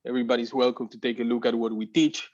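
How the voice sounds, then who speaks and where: A man's voice: mid-range voice, little pitch movement, fast, a little mellow, lo-fi sound. A man giving a casual talk at a tech meetup, hesitant, with many fillers and restarts. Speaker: community organizer presenting.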